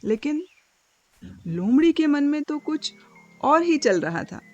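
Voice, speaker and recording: A woman's voice: high voice, sing-song, slow pace, muffled recording. A woman storyteller reading a scripted moral fable as narration. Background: faint music.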